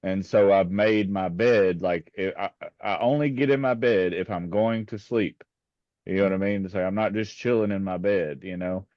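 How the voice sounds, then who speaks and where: A man chatting in a relaxed, upbeat way on a video support call. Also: low voice, moderate pace, no room sound. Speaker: support group member. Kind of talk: casual group chat. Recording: dull lo-fi audio.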